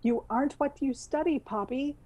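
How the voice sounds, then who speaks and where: Woman as mellow, determined station boss. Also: high-pitched, normal speed, no echo, lightly acted. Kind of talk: comedy audio drama acting.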